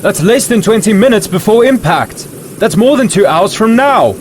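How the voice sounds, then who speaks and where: A man's voice: high-pitched, expressive, normal speed, slight background noise. A man playing an impatient, anxious private-plane passenger in an audio drama.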